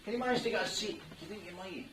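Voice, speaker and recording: Jovial Scottish minister in an echoey hall. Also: male voice, sits high, very fast. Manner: jovial, joking, chatty. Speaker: wedding minister. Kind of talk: officiating a wedding ceremony. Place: wedding venue indoors. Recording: roomy, distant mic with venue noise.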